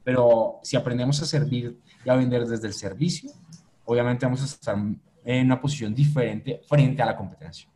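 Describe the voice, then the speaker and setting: A man guest in a business podcast interview, answering reflectively and pausing to search for words. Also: medium pitch, steady tone, mellow. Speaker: agency owner, guest.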